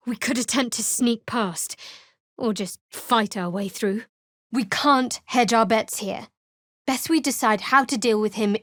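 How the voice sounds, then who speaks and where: Fantasy game role: woman to play young female cleric of a dark goddess, sardonic and guarded, higher register, measured, very dry close recording. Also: no echo, close to an everyday voice. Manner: cool, wry, measured delivery. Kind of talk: fantasy video game voice acting.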